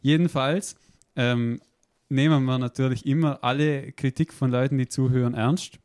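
A man's voice: medium pitch, fairly even intonation, normal speed, darkish tone, dry recording, studio quality. A man hosting a radio show in a chatty, playful way. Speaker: radio host. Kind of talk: casual radio chat.

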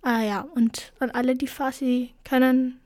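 A woman's voice: sits high, little pitch movement, unhurried, darkish tone. A teenage girl from Afghanistan, co-hosting a casual chat and telling stories in an earnest, indignant way. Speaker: co-host.

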